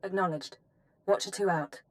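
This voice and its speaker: A woman's voice: fairly low pitch, fairly even intonation, normal speed, natural voice. A woman playing a composed, efficient imperial intelligence officer giving a briefing in a video game, precise and businesslike. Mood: composed, brisk.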